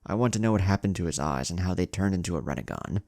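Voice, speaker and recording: Man doing a young ninja leader, curt, nonchalant, creaky low voice, anime storytelling. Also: animated, quick pace, a little mellow, no echo, studio quality. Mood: flat, matter-of-fact. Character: calm, blunt young male ninja leader.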